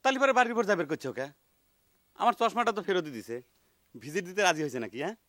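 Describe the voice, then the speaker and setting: An indignant, sarcastic village doctor in a comic TV drama. He talks very fast and high in a rural Bangladeshi dialect. The sound is dry and close. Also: very animated, a bit bright, no echo. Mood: suspicious. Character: huffy village doctor fussing about fees.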